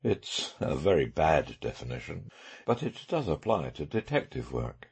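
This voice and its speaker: A man voicing a brilliant, self-assured male detective with dry, measured sarcasm in an audiobook. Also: very deep voice, very animated, creaky, darkish tone, husky, voice pushed down, exaggerated voice. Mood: wry.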